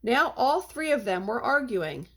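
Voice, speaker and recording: Higher-pitched woman reading a storybook aloud. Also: gravelly, slightly rough. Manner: deliberate, expressive, slows for refrains. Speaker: teacher reading a picture book aloud. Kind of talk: storybook read-aloud for young children.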